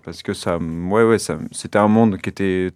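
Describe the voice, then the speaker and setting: A man's voice: low voice, fairly even intonation, moderate pace, darkish tone, smooth, dry recording, studio quality. A man in his late twenties from western France, telling his own story as an interview guest in informal, colloquial speech. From Maine-et-Loire, western France. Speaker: interview guest, artisan baker.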